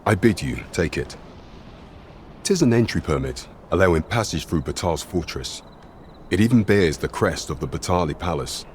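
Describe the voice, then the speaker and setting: Very deep-voiced, formal royal advisor. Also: male voice, expressive, a little hiss or hum. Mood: grave, deliberate. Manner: formal, deferential, measured. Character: grave, formal male royal advisor in a fantasy realm. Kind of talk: archaic, courtly scripted game acting.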